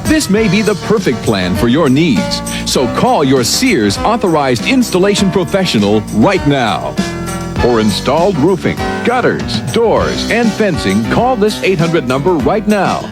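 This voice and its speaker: A man reading a scripted commercial pitch as an announcer. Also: very animated, unhurried. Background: guitar music bed.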